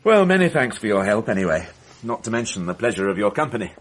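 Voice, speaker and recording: Low-voiced, probing police inspector. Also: male voice, very animated. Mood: dry, courteous. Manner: measured, insistent, dryly courteous. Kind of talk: radio mystery drama acting.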